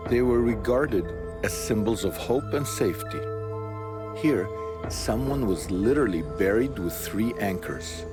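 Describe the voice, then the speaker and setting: A man narrates a documentary in a measured, earnest way, his voice sitting a little low. Clear music runs beneath his voice-over. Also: lively intonation.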